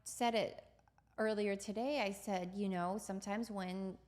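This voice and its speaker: A woman hosting a podcast, bubbly and loud, talking in a casual, searching way. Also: medium pitch, fairly even intonation, measured pace. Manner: bubbly, loud, bursts of laughter. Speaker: podcast co-host. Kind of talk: casual chat.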